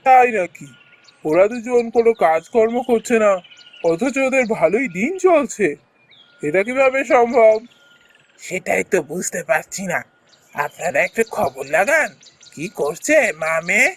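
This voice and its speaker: A man's voice: high voice, expressive, a little slow. A man playing a scheming greedy uncle. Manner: suspicious, plotting. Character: scheming greedy middle-aged village uncle.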